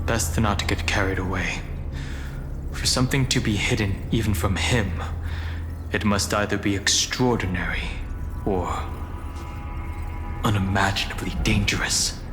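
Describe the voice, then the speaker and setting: Man acting a lowish-voiced, cool analytical scholar. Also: fairly even intonation, unhurried, long pauses, lightly acted. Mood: grave, guarded. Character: cool, analytical scholar pondering ancient secrets. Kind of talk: dramatic game monologue. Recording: hall reverb with music behind.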